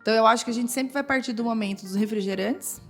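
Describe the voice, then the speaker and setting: A woman's voice: fairly high pitch, fast talker, soft background music. A woman with a Brazilian Portuguese accent, a school nutritionist speaking as a podcast guest and explaining things step by step with frequent tag questions. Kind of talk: casual podcast conversation.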